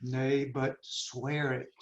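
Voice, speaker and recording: A man performing an anguished, intense young prince in a Shakespearean dramatic reading, declaiming haltingly and dwelling on words. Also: medium pitch, level tone. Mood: grave, insistent. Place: indoors on a video call. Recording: roomy, echoing, muffled video call audio.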